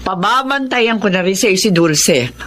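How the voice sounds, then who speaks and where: A woman's voice: medium pitch, lively intonation, a little slow, fairly bright tone. A woman playing a chatty, bossy but welcoming middle-aged mother and aunt in a Filipino radio drama. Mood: bossy, protective.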